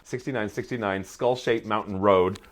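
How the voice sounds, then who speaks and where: A man with a low-pitched voice chats as a theatre guest on a podcast. He is wry and wanders off on asides, reworking his sentences as he talks. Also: fairly even intonation, normal speed. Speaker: playwright and performer, podcast guest. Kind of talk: casual interview chat.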